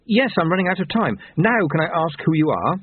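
A naive young apprentice as a male narrator plays him, hesitant, polite and impatient. His audiobook voice is pitched up and urgent, with a thin telephone-like sound. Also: very animated, fairly quick, slightly rough. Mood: puzzled, earnest. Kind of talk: audiobook character voice. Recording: narrow tinny phone-like sound.

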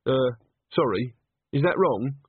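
A man's voice: medium pitch, lively intonation, measured pace, husky, dry recording, narrow, tinny audio. A man voicing a practical, level-headed young woman who speaks in a hesitant, down-to-earth way in an audiobook.

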